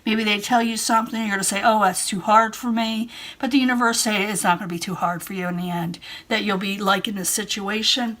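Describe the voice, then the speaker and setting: A woman talks through a tarot reading in a chatty, reassuring way and keeps repeating her points. Her voice is strained because she is losing it, and it sits at an ordinary middle pitch for a woman. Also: steady tone, brisk, a bit bright. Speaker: tarot reader. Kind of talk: casual tarot reading to camera.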